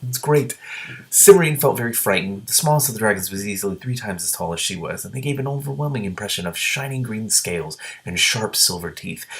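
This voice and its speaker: Low-voiced man reading a story aloud. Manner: excitable, profane asides. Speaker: reader and commentator. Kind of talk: reading a book aloud with reactions.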